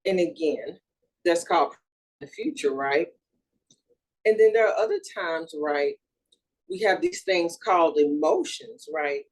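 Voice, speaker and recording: A woman in her thirties from Houston, a grief and trauma therapist, giving an earnest, measured presentation with small fillers and self-corrections. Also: low voice, very animated. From Houston, Texas. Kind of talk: recorded educational presentation.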